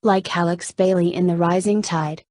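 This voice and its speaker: A woman voicing a brisk, motherly, cautioning hospital nurse with a slight Southern accent in a story reading. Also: fairly low pitch, steady tone, a little mellow, no echo, studio quality, natural voice. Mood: urgent, warning.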